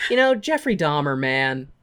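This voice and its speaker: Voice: mid-range voice, sing-song, slow, a bit bright, clear, resonant tone. Irreverent podcast co-host, casually recapping. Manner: irreverent, profane, jokey. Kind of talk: casual recap with jokey quotes.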